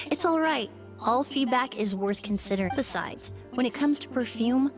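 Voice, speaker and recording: Composed young woman, slightly high voice. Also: expressive, faint music behind. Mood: gentle, reflective. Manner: measured, explanatory. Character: composed, thoughtful young woman perfumer, gently earnest. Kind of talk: video game dialogue acting.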